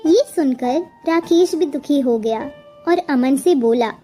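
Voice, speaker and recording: Woman storyteller, high pitch, unhurried pace, scripted narration, soft music behind.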